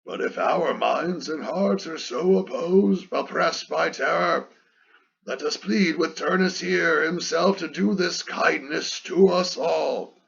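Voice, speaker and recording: Man performing embittered elder statesman, epic verse. Husky, higher-set, declamatory and emphatic; muffled lo-fi sound. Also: fairly bright tone, heavily acted. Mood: grave pleading. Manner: rhetorical, declamatory, slow and emphatic. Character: bitter, taunting male elder orator pleading for peace. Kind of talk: dramatic reading of epic verse.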